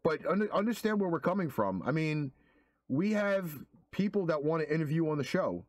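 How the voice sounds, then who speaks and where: A man on a podcast earnestly making his case to the listeners, with casual swearing. His voice sits a bit high for a man. The sound is dry and close. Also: lively intonation, normal speed, no echo. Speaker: co-host.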